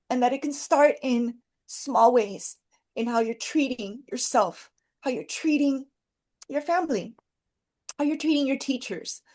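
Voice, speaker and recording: A woman's voice: sits high, darkish tone. A woman speaking as a podcast guest in an earnest, encouraging, heartfelt conversational way, repeating phrases for emphasis.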